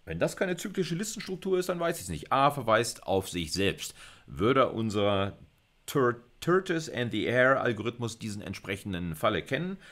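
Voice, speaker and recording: A man's voice: medium pitch, sing-song, moderate pace, fairly bright tone. A middle-aged man giving an informal recorded lecture, thinking aloud and putting questions to himself as he explains. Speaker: university computer science lecturer.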